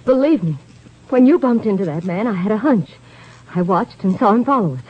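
Edgy secretive young lady, old radio play, very lively intonation, muffled. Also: medium pitch, brisk, slightly rough. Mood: earnest. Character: nervous, guarded young woman with something to hide. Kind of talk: 1950s radio drama acting. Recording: muffled, noisy 1950s radio recording.

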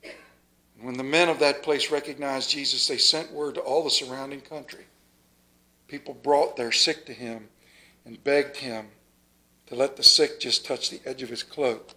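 A middle-aged man, a pastor, preaching a lively sermon in a small church, reading scripture and moving around the pulpit with dramatic pauses. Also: mid-range voice, rough recording. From United States. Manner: animated, moves about the pulpit, dramatic pauses. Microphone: stand mic at pulpit, far camera.

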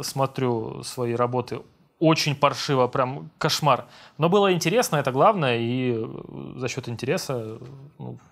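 A young man, a voice-over dubber, casually reading out viewer comments and answering them at his desk at home, relaxed and self-deprecating. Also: mid-range voice, gravelly. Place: home room with monitors, indoors.

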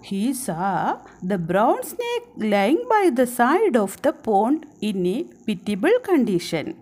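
A woman tells a children's story in a high voice that swings up and down. She goes very slowly and deliberately, shaping each phrase expressively like a teacher with young pupils. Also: sing-song, darkish tone. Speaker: trainee Montessori teacher, storyteller.

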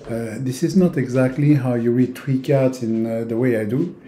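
A man in his sixties from Europe, a native French speaker with a French accent, giving an informal, halting instructional talk with long hesitations. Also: mid-range voice, dark tone, slight background noise. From francophone Europe. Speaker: tarot teacher. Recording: roomy, echoing indoor sound.

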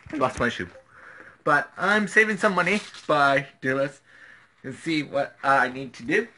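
A man in his twenties vlogging casually into a handheld phone, halting and repetitive, often trailing off into vague asides. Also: fairly high pitch, sharp tone. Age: 20s. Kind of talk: casual rambling vlog talk. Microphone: phone at arm's length. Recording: faintly noisy handheld phone recording.

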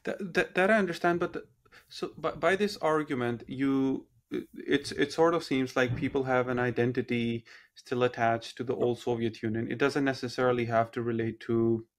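A man at mid pitch hosts a podcast over a video call from home. He probes with long questions, rephrasing and circling back as he works out what to ask, in a casual, searching way. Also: steady tone, normal speed. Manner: probing, rephrases questions as he goes. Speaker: podcast host. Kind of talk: interview questions. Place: room at home, indoors. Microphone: laptop on a video call.

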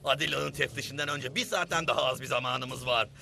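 A man's voice: medium pitch, a little fast, vocal fry, bright, forceful tone, faint music behind, full character voice. A man voicing a scheming, bullying bug commander in a Turkish-dubbed cartoon, barking scornfully at underlings. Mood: scornful, bossy.

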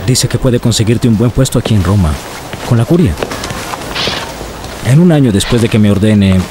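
Actor as a persuasive young seminarian, lowish voice, street noise around. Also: male voice, expressive, normal speed, natural voice. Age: young adult. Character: ambitious young seminarian, well-connected and eager. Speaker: seminarian friend.

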